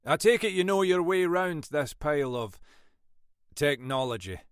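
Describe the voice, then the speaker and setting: An older male police inspector from a crime audiobook, acted out by a male reader. He is wry and avuncular, teasing his juniors in a raised, animated voice, recorded dry and close. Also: on the high side, normal speed, clean tone, no room sound, pitched above the performer's own voice. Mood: amused, teasing.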